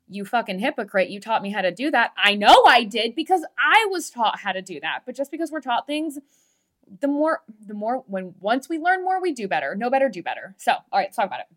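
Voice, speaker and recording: A woman with a lisp, hosting a solo podcast as a coach, talking in a frank, emphatic, conversational way and swearing freely. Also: mid-range voice. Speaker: podcast host and marketing coach. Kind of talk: candid solo podcast talk.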